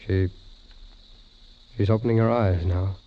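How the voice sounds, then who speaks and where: Deferential, anxious young-man-Friday to a doctor; low pitch, halting vintage radio delivery, muffled sound. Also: fairly even intonation. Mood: tense, horrified. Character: earnest male doctor's assistant, anxious and deferential. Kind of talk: 1940s-50s radio drama acting. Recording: muffled old radio recording.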